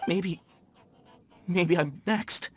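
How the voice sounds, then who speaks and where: An actor playing an anxious, stammering mine worker in a game. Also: male voice, high voice, very slow, slight background noise. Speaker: miner.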